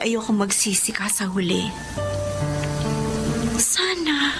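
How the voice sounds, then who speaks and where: An actress playing an earnest younger sister, persuasive and pleading, in a Filipino radio drama. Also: female voice, a little high. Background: music.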